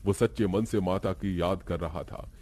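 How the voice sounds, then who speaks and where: A man's voice: deep voice, moderate pace, a little mellow, slight background noise. A man narrating a scripted horror story in a dramatic storytelling style.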